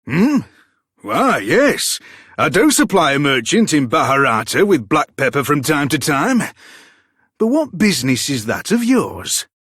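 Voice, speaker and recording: A man playing a brusque but generous trader in a video game, with a husky voice and animated delivery. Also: on the high side, unhurried, dry recording, studio quality, full character voice. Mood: wary, curt. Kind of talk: lively video game character acting.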